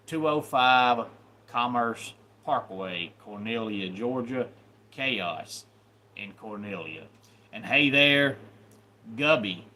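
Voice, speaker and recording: A man's voice: mid-range voice, pushed and bright, slight background noise. A man with a Southern cowboy accent hosting a casual live stream, greeting viewers by name and plugging upcoming wrestling shows in an announcer's manner. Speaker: YouTube live host and wrestling referee. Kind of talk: casual livestream chat.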